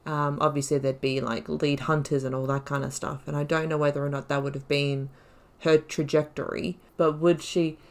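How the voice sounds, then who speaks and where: A woman's voice: sits low, level tone, moderate pace, vocal fry, hardly any pauses. A woman hosting a playthrough with casual commentary, reflectively thinking aloud as she goes. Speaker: gaming channel host. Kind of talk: casual let's play commentary.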